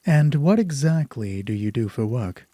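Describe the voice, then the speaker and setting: A man playing a polite marriage counselor in an audio drama, measured, probing and placating. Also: mid-range voice, expressive, mellow, no room sound, studio quality, natural voice. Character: calm, polite male marriage counselor doctor.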